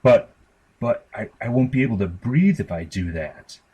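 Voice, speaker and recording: Guy doing annoyed teen big brother in a story, grudging, kinda raised husky voice. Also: medium pitch, expressive, moderate pace, warm tone. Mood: protesting. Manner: grudging, exclamatory, irritable. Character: exasperated teenage big brother who gives in.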